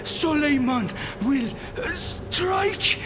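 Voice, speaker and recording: An actor playing a bitter, dying militant leader in a video game, weak and speaking in broken, repetitive phrases. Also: male voice, very high-pitched, animated, background noise, heavily acted. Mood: bitter, vengeful. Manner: halting, broken phrases, repeats words.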